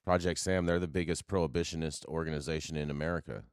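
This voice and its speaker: A man's voice: very deep voice, steady tone, fast talker, smooth, dry recording, clean studio sound. A man hosting a casual news talk show, speaking emphatically and often repeating his phrases.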